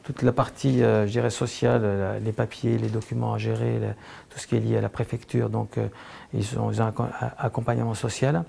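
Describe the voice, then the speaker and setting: Gravelly man with a hesitant manner. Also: fairly low pitch, level tone, a little fast, a little mellow. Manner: hesitant, restarts often, plain speech. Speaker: staff member speaking about trainees. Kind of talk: documentary interview.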